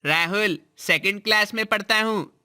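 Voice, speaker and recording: A timid little cartoon schoolboy who is frightened of spiders, as an actor plays him. He talks earnestly, like a young child, and his tone is strikingly bright and sharp. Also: mid-range voice, moderate pace. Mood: shy. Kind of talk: animated storybook voice acting.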